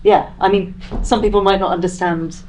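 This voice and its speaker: A woman's voice: medium pitch, steady tone, fairly quick, darkish tone. A woman taking part in a casual panel chat as a podcast guest, speaking in a thoughtful, earnest way. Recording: clearly noisy background.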